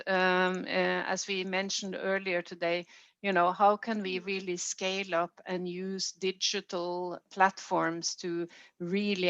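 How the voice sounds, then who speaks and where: Norwegian-accented female official, lowish voice, deliberate unscripted meeting remarks, slightly dull audio. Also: a bit bright. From Norway. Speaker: health organisation official leading a consultation. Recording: roomy, dull band-limited meeting audio.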